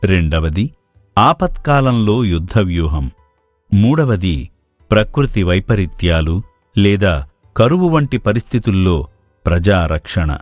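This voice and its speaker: A man voices a sage royal teacher from a moral tale, low and richly varied in intonation. He counsels with courtly, measured formality. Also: big pitch swings, no echo, soft background music. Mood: solemn, advising. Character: wise, courteous royal guru advising a king. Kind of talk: dramatised moral storytelling.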